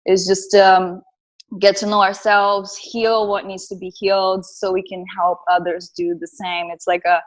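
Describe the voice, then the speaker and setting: A woman's voice: mid-range voice, flat delivery, measured pace, poor audio. Brazilian-accented woman sharing her story. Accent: Brazilian Portuguese. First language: Portuguese. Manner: earnest and reflective. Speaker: podcast guest. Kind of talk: casual interview, personal storytelling.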